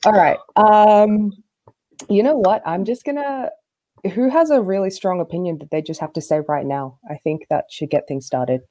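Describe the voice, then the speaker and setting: A woman's voice: mid-range voice, normal speed, warm tone, dry recording. A woman co-hosting a podcast, chatting casually and steering the discussion. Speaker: podcast co-host.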